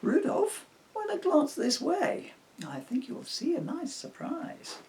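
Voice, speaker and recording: A man's voice: extremely high pitch, huge pitch swings, slow, darkish tone, slight background noise, pitched above the performer's own voice, exaggerated voice. A man voicing a positive-thinking, playful magic unicorn in a children's storybook, with a reassuring manner. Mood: proud, encouraging.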